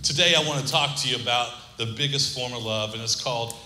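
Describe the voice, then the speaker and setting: A man's voice: medium pitch, quick pace, pushed and bright, big-room sound, slight background noise. A man preaching a sermon, asking the congregation to repeat words and joking between points. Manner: preaching with call-and-response and humor. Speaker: pastor.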